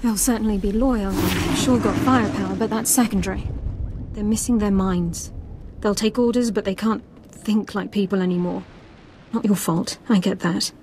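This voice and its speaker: A woman's voice: medium pitch, fairly even intonation, normal speed, darkish tone, natural voice. A woman plays a bitter female officer in a video game cutscene. Her mid-range voice is terse and sardonic, full of disgust, with faint noise behind it. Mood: bitter anger. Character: bitter, angry female Imperial military officer.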